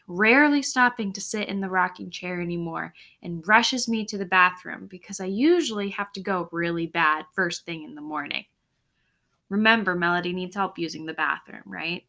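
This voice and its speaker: A woman's voice: mid-range voice, pushed and bright. A woman teacher reading a story aloud expressively to her class, with instructive asides that check listeners are following. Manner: instructive, checks understanding with tag questions.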